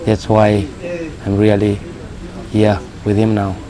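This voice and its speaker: A man who works at the reserve giving a plain, steady interview answer. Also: fairly low pitch, slow, poor audio. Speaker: reserve staff member. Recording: crowd noise behind the voice.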